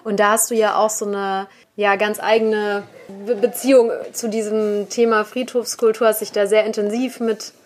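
A woman's voice: medium pitch, level tone, moderate pace, few pauses, a little hiss or hum. A woman hosting a podcast who asks her guest questions steadily, with a few fillers. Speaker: podcast host. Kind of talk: interview questions.

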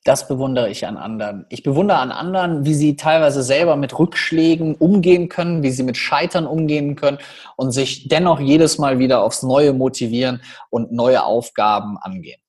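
Husky man with a Cologne accent. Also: mid-range voice. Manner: animated storytelling with quick asides. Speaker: podcast guest, public speaker. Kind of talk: casual podcast conversation.

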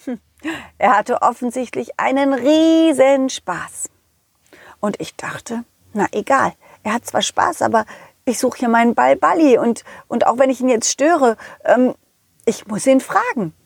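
Woman playing a friendly loyal dog, children's story narrator, high animated voice, slow halting delivery, expressive. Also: gravelly, husky, dry recording, studio quality, exaggerated voice. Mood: puzzled, eager. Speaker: host and narrator.

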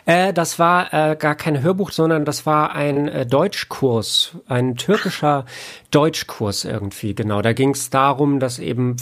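A man chatting casually as an interview guest, halting often with filler sounds as he explains. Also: fairly high pitch, a bit bright. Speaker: interview guest, audio editor and producer. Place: home studio, remote connection.

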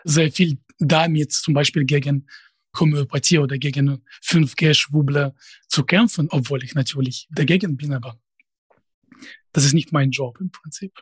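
Husky man with a Russian accent. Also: a little high, steady tone, moderate pace, no room sound. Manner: hesitant, restarts phrases, direct. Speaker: professor and YouTuber, interview guest. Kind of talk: conversational interview answers.

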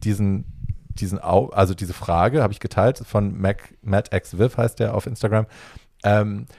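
A young adult man telling a personal story in casual chat, acting out the dialogue with lively energy. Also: lowish voice, unhurried, vocal fry, no echo.

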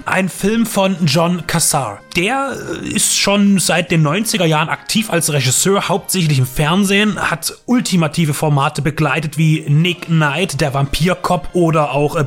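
A man giving a casual film review as a podcast co-host, a little hesitant and dryly ironic. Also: fairly high pitch. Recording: music bed under the voice. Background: music.

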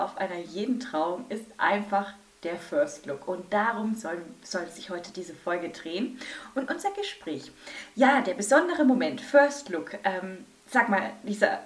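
A woman's voice: medium pitch, far from the mic. Chatty woman podcast host, roomy sound. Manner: warm, gushing, laughs often. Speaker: podcast host and wedding speaker. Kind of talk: casual podcast chat. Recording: roomy echo with faint music.

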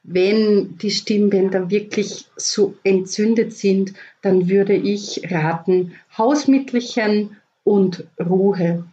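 An Austrian woman voice coach explains remedies calmly and practically. Her delivery is slow and her tone is dark and mellow. The audio sounds a little dull and lo-fi. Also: mid-range voice. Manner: calm, explanatory, gives practical tips. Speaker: voice coach guest. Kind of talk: podcast interview.

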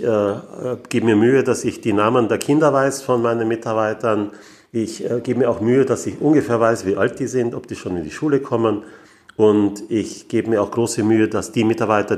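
Austrian man in his sixties, lower-pitched voice. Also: darkish tone. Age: 60s. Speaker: interview guest, business owner. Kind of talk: conversational interview answers.

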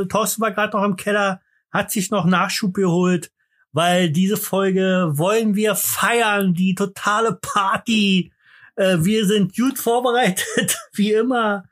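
High-voiced rambling man, tipsy. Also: fairly even intonation, unhurried, a bit bright. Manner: rambling, joking, drinking during the show. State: a bit tipsy. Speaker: podcast co-host. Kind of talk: boozy casual podcast banter.

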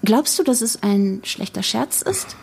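A woman's voice: medium pitch, expressive, unhurried, gravelly, darkish tone, a little hiss or hum. An actress playing a pragmatic, tired young mother in a naturalistic audio drama, matter-of-fact and questioning with her friends. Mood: reasoning, uneasy.

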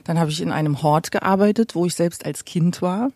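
A woman in her late forties from eastern Germany speaks in a conversational, storytelling way. Her voice has plenty of fry and creak. The sound is dry and free of room echo. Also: on the low side, normal speed, a little mellow. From Gera, eastern Germany. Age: late 40s. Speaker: podcast guest. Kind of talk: conversational storytelling about own life.

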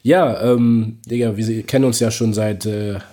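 A man hosts a casual podcast with a relaxed, slangy manner, often pausing on fillers. His voice sits a little low and has a husky edge. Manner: laid-back, slangy, hesitant with many fillers. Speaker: podcast host.

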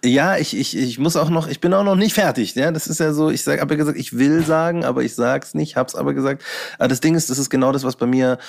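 Casual, slangy man telling stories, halting. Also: medium pitch, creaky. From Bavaria, Germany. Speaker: guest, hip-hop DJ and workshop teacher. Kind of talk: casual slangy interview chat.

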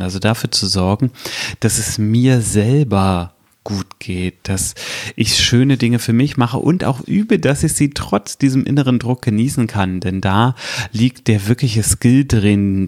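Man in his thirties, podcast host, fairly low voice, direct advisory monologue. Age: 30s. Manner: direct, addresses the listener as "du", reflective. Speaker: podcast host, strategy consultant.

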